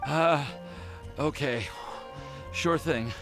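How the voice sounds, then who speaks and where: A man's voice: fairly high pitch, very slow, over music. A man voicing an anxious, lovesick young male alchemist in an anime-style game, hesitant and stammering. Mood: dejected, sheepish.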